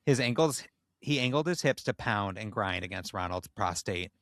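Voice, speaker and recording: Male podcast reader, lower-pitched, dry intimate recording, comedic dramatic storytelling. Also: lively intonation, normal speed, a bit bright, no room sound. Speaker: podcast co-host. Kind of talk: comedic dramatic reading of erotic fan fiction.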